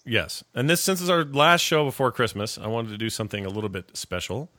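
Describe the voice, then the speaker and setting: A man's voice: medium pitch, expressive, a little fast, sharp tone, dry recording, studio quality. A middle-aged man hosting a podcast with a cold, rambling and joking casually. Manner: rambling, jokey, self-interrupting. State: has a cold, coughing. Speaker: podcast host.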